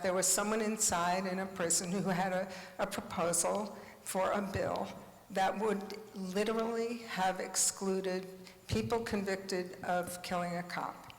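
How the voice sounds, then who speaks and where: A woman in her seventies, speaking on a conference panel in a passionate, blunt way with asides and short laughs. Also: mid-range voice. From United States. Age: 70s. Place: large conference hall. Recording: echoey hall with long reverb.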